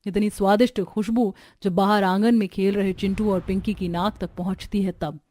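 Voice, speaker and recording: A woman's voice: mid-range voice, steady tone, normal speed, mellow. A woman giving scripted narration of a simple cartoon family story.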